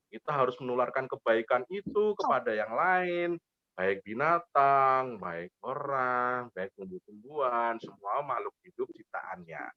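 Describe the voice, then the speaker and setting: A man's voice: mid-range voice, no echo, muffled recording. A man telling an interactive children's story, playfully coaxing and talking straight to little kids. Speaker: volunteer parent storyteller for kindergarteners.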